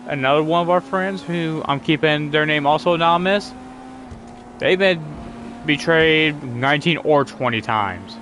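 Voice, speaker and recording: A man's voice: fairly high pitch, moderate pace, fairly bright tone, a little hiss or hum. A man hosting a casual talk, retelling grievances in an aggrieved, repetitive way. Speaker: channel host. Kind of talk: casual complaint chat.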